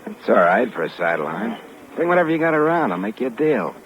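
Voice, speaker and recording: An actor playing a shrewd, offhand fence in a 1950s radio crime drama, terse and businesslike. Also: male voice, medium pitch, very animated, quick pace, lightly acted. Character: shrewd, casual male fence buying stolen goods. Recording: muffled, hissy 1950s radio recording.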